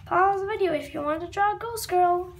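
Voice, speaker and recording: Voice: very high-pitched, normal speed, vocal fry. A child hosting a read-aloud of a comic book, stumbling over words and wandering off into asides. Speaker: read-aloud video host. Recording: noisy home recording with room ambience.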